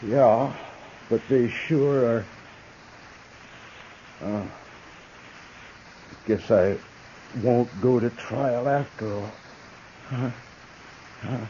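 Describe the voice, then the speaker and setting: A cynical western outlaw, laconic and unbothered. His American voice is dark and mellow with a very slow drawl, in old radio drama style, slightly dulled by the recording. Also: mid-range voice. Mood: dying, weak. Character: cynical, laconic western killer, coolly resigned to capture. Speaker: radio actor. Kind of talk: 1950s radio western acting. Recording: muffled, noisy 1950s radio recording.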